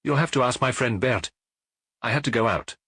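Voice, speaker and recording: A man's voice: mid-range voice, normal speed, a bit bright, husky, no echo, clean studio sound, voice pushed up. A man voicing a hesitant, evasive café waitress in a detective audiobook, with a guarded manner.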